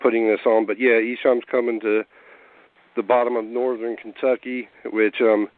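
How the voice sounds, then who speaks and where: A hoarse man rambles easily through his news, with plenty of fillers. His voice comes through a tinny phone line with a dry, close sound. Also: medium pitch, level tone, moderate pace, no echo. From Cincinnati area. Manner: laid-back, rambling with fillers. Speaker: guest rapper. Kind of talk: casual interview over phone.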